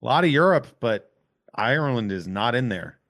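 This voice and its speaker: A man's voice: medium pitch, expressive, normal speed, a bit bright, no echo, studio quality. A man with a Boston accent, hosting a casual podcast chat in a joking, rambling way. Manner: joking, rambling, drops into a thick local accent for laughs. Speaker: podcast host.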